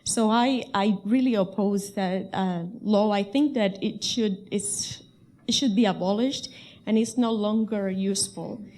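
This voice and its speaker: A woman's voice: medium pitch, fairly even intonation, unhurried, a little mellow. A middle-aged woman from Cuba with a Cuban Spanish accent, speaking English as a second language, earnestly sharing a personal comment from the audience at a panel. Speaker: audience member.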